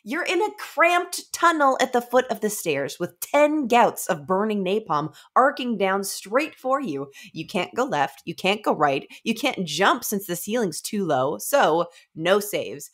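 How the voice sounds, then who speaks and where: A woman's voice: medium pitch, big pitch swings, unhurried, hardly any pauses, a bit bright. A woman voicing a commanding, weary female lieutenant in a comic story reading, with blunt, clipped orders. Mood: merciless.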